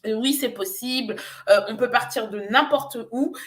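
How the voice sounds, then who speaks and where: Nigerian-born woman coach, slightly high voice, earnest sales pitch, hesitant pauses. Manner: earnest, persuasive, with frequent hesitations. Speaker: business coach. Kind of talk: promotional pitch on a live show.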